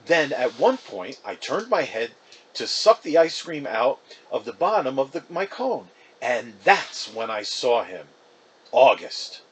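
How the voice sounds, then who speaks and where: A man reading a children's novel aloud as expressive, conversational first-person narration. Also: medium pitch, a little slow, a little hiss or hum.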